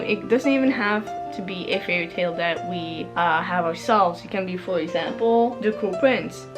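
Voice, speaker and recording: Rambling woman, French native, music behind. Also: fairly low pitch. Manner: rambling, self-correcting, laughs often. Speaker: book vlogger. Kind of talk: casual explaining to camera.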